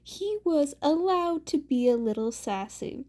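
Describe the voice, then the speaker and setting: A woman with a high voice narrates a story at an unhurried pace. She reads like an amateur audiobook narrator, expressive and following a script. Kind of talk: scripted narration of fan fiction.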